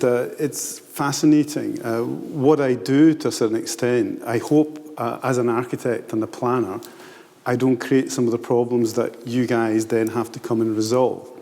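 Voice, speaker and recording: A man's voice: mid-range voice, steady tone, moderate pace, creaky, mellow. An older man with an Italian accent, an architect and planner speaking on a panel in a wry, frank and self-deprecating way. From Italy. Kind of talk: candid panel talk. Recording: long hall reverb.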